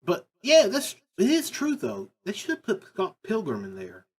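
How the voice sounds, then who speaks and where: A man's voice: sits high, wildly swooping pitch, a little slow, breathy edge. A man giving casual, unscripted commentary as a video host, rambling and emphatic, often repeating his phrases. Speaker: video host ranking video game movies.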